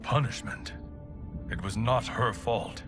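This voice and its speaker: A man playing a grave, resurrected king and father, speaking in a solemn, measured way in a game cutscene. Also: medium pitch, music in the background, natural voice. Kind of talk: video game cutscene acting.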